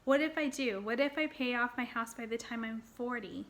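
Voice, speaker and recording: A woman of thirty talking casually to her viewers, excited and rambling, with fillers and restarts. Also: a little high, brisk, a little hiss or hum. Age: 30s. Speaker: personal finance vlogger. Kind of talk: casual talk to camera.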